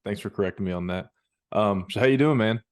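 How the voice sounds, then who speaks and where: A man's voice: fairly low pitch, quick pace, clean tone, no room sound, studio quality. A man hosting a fight podcast, asking casual, friendly questions and calling his guest "man". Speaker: podcast host. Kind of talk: casual interview.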